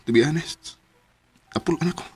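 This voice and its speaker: Man acting a husky, guilt-ridden young boyfriend, Cebuano accent. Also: fairly high pitch, moderate pace, a little mellow. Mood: pained, apologetic. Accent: Cebuano Filipino. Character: guilt-ridden young boyfriend ending a long relationship, apologetic. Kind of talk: Cebuano radio drama acting. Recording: music under the voice.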